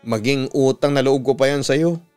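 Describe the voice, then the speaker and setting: A man's voice: mid-range voice, moderate pace, a little mellow, smooth, faint music behind, natural voice. A Filipino man voicing a defensive young woman with an irritated, snobbish, curt manner, in a dramatic story. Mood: irritated, snappish. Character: defensive, snappish young woman who loves luxuries.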